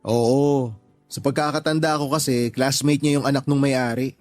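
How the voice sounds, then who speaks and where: A man playing a calm, supportive young boyfriend in a Tagalog radio drama, speaking in a reassuring, conversational way. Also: medium pitch, moderate pace, soft background music, lightly acted. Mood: helpful.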